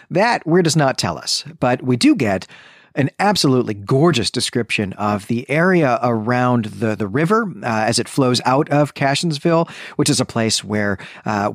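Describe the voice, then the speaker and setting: A man's voice: medium pitch, no echo, studio quality. A man co-hosting a podcast, giving a conversational literary recap with chatty asides and qualifications. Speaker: podcast co-host.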